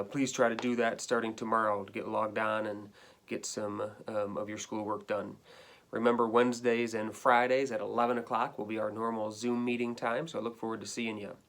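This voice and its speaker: A man's voice: lowish voice, flat delivery, normal speed, a bit bright. A man speaking as an elementary school teacher, giving pupils an informal, conversational update with some hesitation. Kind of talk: informal remote-learning announcement to pupils.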